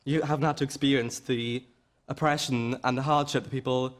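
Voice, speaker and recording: Male audience contributor, mid-range voice, earnest, measured delivery in a live debate. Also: medium pitch, steady tone. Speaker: audience member giving a view.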